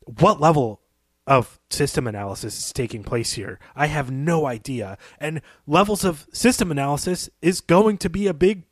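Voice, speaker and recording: A man's voice: fairly high pitch, expressive, moderate pace, dry recording. Man in a dry, close-sounding recording. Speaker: podcast host. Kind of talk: conversational literary analysis.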